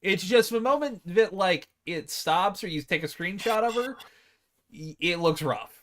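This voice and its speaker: Lively, high-pitched man reviewing casually. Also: unhurried, a crowd in the background. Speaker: podcast co-host. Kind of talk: casual chat, film review.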